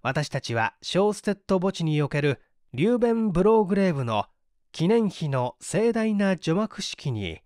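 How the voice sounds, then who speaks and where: Male audiobook reader, high voice, lively intonation, for literary mystery narration. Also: moderate pace, no room sound, clean studio sound.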